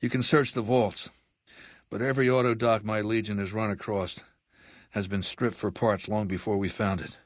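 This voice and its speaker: A man playing an aging, imperious warlord dictator in a video game, with a measured, commanding and menacing manner. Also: fairly low pitch, fairly even intonation, no echo, clean studio sound, lightly acted. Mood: cold, commanding. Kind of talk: video game character dialogue.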